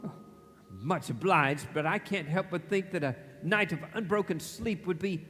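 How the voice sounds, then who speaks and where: An actor playing a frightened, grumbling old miser in a stage radio play, querulous and hesitant, heard in a reverberant hall. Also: male voice, sits high, normal speed, fairly bright tone, slight background noise. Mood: wary, polite. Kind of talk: live radio play acting. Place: theatre stage, live performance at featured mics. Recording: echoey theatre stage, long reverb.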